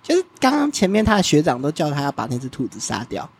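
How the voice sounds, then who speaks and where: A man's voice: a little high, wildly swooping pitch, quick pace, slightly rough. A man hosting a casual podcast interview, chatty and curious, often laughing between questions. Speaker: podcast host.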